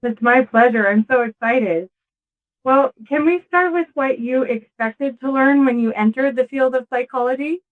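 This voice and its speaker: High-pitched woman hosting an interview podcast. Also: normal speed, narrow, tinny audio. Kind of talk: scripted introduction and conversational interview.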